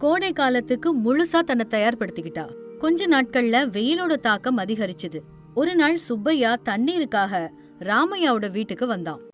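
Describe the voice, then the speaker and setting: A woman narrating a children's animated moral tale, her voice sitting a little high. She tells it in a clear, steady way, with light background music underneath. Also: normal speed. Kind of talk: scripted storybook narration.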